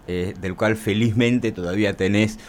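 A man putting questions in a conversational, unhurried way as a radio interviewer. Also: fairly low pitch, fairly even intonation.

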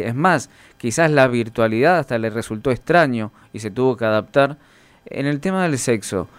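A man's voice: medium pitch, clean tone, dry recording. A man in his forties with an Argentine accent, interviewing casually in a tentative, roundabout way. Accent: Argentine Spanish. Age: 40s.

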